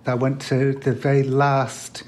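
A middle-aged man from Wales talks casually as an interview guest. His voice sits at an ordinary mid pitch. He tells self-deprecating stories with light chuckles. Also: little pitch movement, unhurried, darkish tone, slight background noise. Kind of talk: casual chat.

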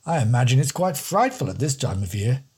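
A man's voice: mid-range voice, big pitch swings, brisk, a little mellow, husky. A man voicing a wordy, pompous older English don with a fussy manner.

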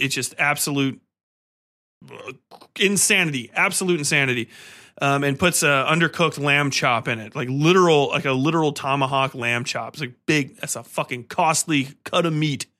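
Bright, higher-voiced man podcasting casually. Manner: excitable, profane, emphatic. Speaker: podcast host. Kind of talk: casual, rambling film commentary.

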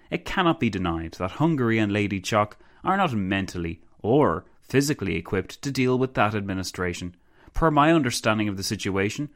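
A man's voice: on the low side, natural voice. A man voicing a self-satisfied Romanian statesman who speaks in flowery, pompous oratory in a narrated story.

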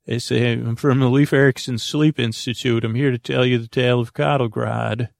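A man hosting a bedtime podcast with a creaky voice, rambling in a halting, goofy, improvised monologue that meanders into tangents. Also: medium pitch, steady tone, dry recording, studio quality. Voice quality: gravelly, creaky. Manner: goofy, whimsical. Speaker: podcast host.

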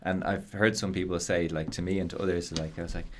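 A man's voice: low-pitched, steady tone, quick pace, a little hiss or hum. An Irish man hosting a podcast, chatting casually and laughing easily as he tells stories. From Ireland. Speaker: podcast host. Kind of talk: casual interview chat.

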